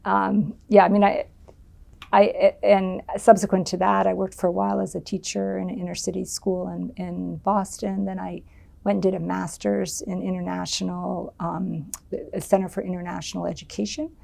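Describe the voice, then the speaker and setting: Interview subject: middle-aged woman from the US, low gravelly voice, halting and thoughtful. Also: little pitch movement, dark tone. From United States. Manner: thoughtful, many ums and restarts. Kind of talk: oral history interview.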